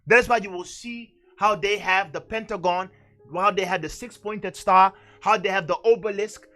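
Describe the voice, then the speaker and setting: A man's voice: high voice, steady tone, moderate pace, sharp tone, soft background music. A man teaching the Bible fervently, exhorting emphatically and repeating phrases for effect. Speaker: preacher and teacher on a religious show.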